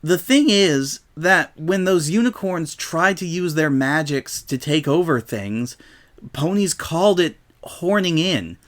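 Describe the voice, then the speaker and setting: A man's voice: fairly high pitch, animated, slow pace, a bit bright. A man voicing an earnest, bookish young female unicorn who explains things patiently in a storybook reading. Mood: earnest, explanatory.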